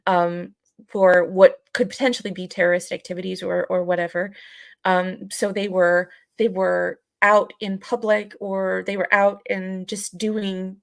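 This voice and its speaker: Creaky, low-pitched woman, fairly even. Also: dry recording, clean studio sound. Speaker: podcast co-host. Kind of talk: casual conversational podcast chat.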